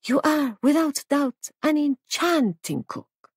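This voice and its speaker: A woman voicing a sly elderly foreign man in a storybook, gleefully courteous, with theatrical, insinuating, drawn-out phrasing. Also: high-pitched, very animated, no echo, clean studio sound, voice pushed up, heavily acted. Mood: flattering.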